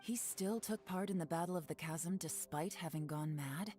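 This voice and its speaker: Woman playing a low-voiced, composed, shrewd investigator. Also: moderate pace, a little mellow, soft background music, natural voice. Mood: questioning. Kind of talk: video game voice acting.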